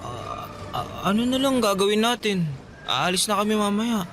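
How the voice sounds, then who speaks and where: A man's voice: high-pitched, sing-song, moderate pace, noisy background. An actor playing an earnest, lovestruck boy from a travelling fair, hesitant and sincere, in a Tagalog radio drama. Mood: pleading.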